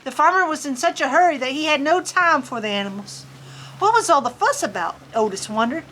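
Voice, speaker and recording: A woman's voice: high voice, animated, fairly quick, sharp tone, rough recording. A woman reads aloud to children. Her delivery is folksy and playful, slipping between storybook narration and casual chat. She is recorded outdoors on a farm, with faint ambient noise behind her. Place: outdoors on a farm in cold weather.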